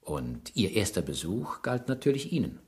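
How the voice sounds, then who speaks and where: An actor playing a shrewd, insistent police inspector who probes and presses a suspect in a radio crime drama. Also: male voice, on the low side, sing-song, normal speed, darkish tone. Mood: probing, coaxing. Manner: probing, pointed, pressing questions.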